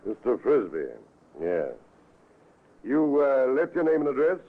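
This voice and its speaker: An actor playing a brisk, skeptical police superintendent in clipped, questioning old-time radio drama style. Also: male voice, mid-range voice, very animated. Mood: businesslike, musing. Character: brisk, skeptical British police superintendent, courteous but authoritative. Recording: muffled vintage radio recording, narrow band.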